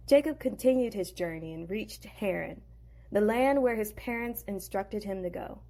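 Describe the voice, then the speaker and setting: A woman's voice: medium pitch, moderate pace, dark tone. A woman narrating a Bible story in a plain, conversational way.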